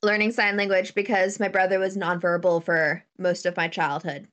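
A woman's voice: lowish voice, level tone, moderate pace, a bit bright. A woman co-hosting a podcast, chatting casually and chiming in with short words of agreement. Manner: brief agreeing interjections. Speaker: podcast co-host.

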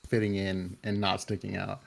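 A man's voice: sits low, monotone, moderate pace, creaky. A man from the Bay Area talking casually in an interview, rambling with lots of fillers and restarts. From California Bay Area. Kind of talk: casual conversation.

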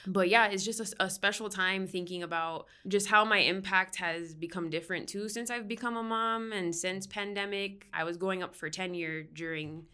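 A woman's voice: medium pitch, fairly even intonation, normal speed, hardly any pauses, sharp tone, clean tone. A woman from Miami who works as a counseling professor, explaining her research in a casual way with frequent "um" and "like". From Miami, United States. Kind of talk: conversational podcast interview.

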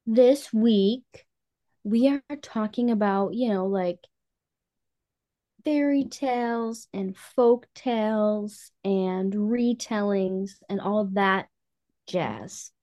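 A woman with a medium-pitched voice speaks as a podcast co-host at a very leisurely pace. She chats about books informally, with casual slang and a conversational delivery. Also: extremely slow, warm tone. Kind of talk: casual book chat.

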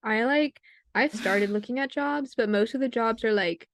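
A woman's voice: fairly high pitch, moderate pace. A young woman co-hosting a podcast, chatting casually and a little hesitantly, with lots of fillers. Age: young adult. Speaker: podcast co-host. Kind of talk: casual chat.

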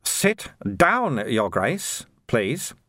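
A man voicing a cheerful, unflappable little monk in an audiobook, with a calm, wry, politely needling manner. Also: mid-range voice, drawn-out words, no echo, heavily acted. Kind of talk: audiobook character voice.

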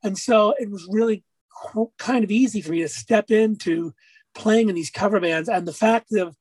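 A man with a raspy speaking voice, a musician guest on an interview, talking casually and rambling through stories with lots of fillers. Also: high voice, normal speed, a little mellow, dry recording. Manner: rambling storyteller, many fillers.

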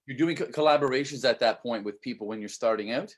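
A man's voice: mid-range voice, fast, slightly rough. A man hosting a casual livestream interview, keen and chatty, often repeating his words and calling his guest man. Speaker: livestream host.

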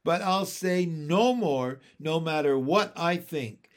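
A man's voice: on the high side, slow, pitched above the performer's own voice. A man voicing a fussy, haughty lady dog owner in a storybook, indignant and wailing in her exclamations. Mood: grudging, tearful.

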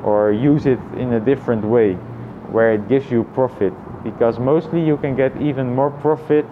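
A man with an average-pitched voice talks through his thoughts in a casual vlog. His delivery is reflective and halting, often repeating phrases as he finds his way. The sound is a little dull, with faint traffic noise behind him. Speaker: vlogger.

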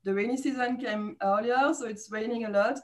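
A woman's voice: on the high side, steady tone, fairly quick, darkish tone. A woman in her thirties from France with a French accent, chatting casually as a podcast guest, laughing often and using many fillers. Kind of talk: casual interview chat.

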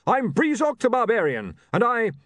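A man's voice: high voice, sing-song, fast, dry recording, studio quality, voice pushed up. A man voicing a thick-shouldered barbarian with wounded pride, blustering and indignant in simple, ungrammatical speech. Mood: furious.

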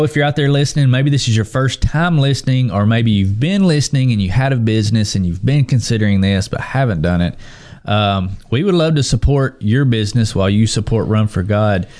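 Relaxed low-voiced male co-host, joking chat with lots of fillers. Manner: easygoing, joking, filler-heavy. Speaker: ministry founder and co-host. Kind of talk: casual chat and sponsor read.

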